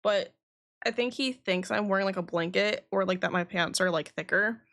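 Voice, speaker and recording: A woman's voice: medium pitch, normal speed, gravelly, fairly bright tone. A woman streaming a game, chatting casually in a rambling way and swearing now and then. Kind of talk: casual livestream chat.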